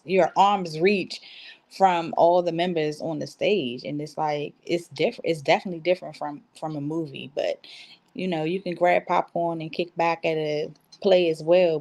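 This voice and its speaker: A woman's voice: deep voice, darkish tone. A woman giving casual, conversational interview answers as a stage actress, telling stories by acting out what people said.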